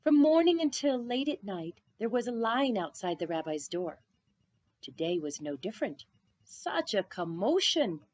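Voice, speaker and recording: A woman reading a children's picture book aloud expressively, drawing out words and dropping into hushed phrases for drama. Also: mid-range voice, very animated, unhurried, no echo. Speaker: storybook reader.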